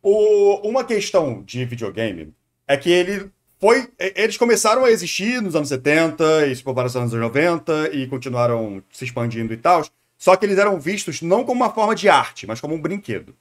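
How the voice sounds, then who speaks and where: Brazilian man with a higher-pitched voice, casually opinionated. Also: animated, a little fast. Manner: opinionated, explanatory, informal slang. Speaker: streamer reacting to a video. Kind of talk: casual live commentary, answering chat.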